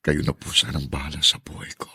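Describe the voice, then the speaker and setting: A man's voice: a bass voice, monotone, quick pace, gravelly, sharp tone, slightly rough, no room sound, clean studio sound, full character voice. A male actor playing a humble, hesitant, weary ex-convict in a Filipino radio drama, with a Filipino accent. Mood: anxious, prayerful. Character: weary, humble ex-convict man, newly freed, prayerful.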